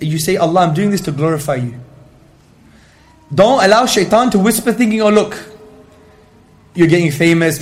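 High-pitched man preaching emphatically. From Greek heritage. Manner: emphatic, rhetorical, peppered with Arabic phrases. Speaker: Islamic lecturer. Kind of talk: religious talk to an audience, with questions.

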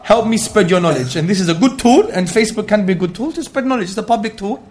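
A man with a high-pitched voice delivers an Islamic lecture to listeners. His delivery is emphatic and rhetorical, and he drops Arabic devotional phrases into his talk. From Greek heritage. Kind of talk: religious talk to an audience, with questions.

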